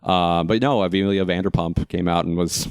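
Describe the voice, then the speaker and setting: A man's voice: sits low, fairly even intonation, normal speed, clean tone, no echo, clean studio sound. An American man co-hosting a podcast, chatting casually and wryly about local theater. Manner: jokey, wry, chatty. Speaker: podcast co-host.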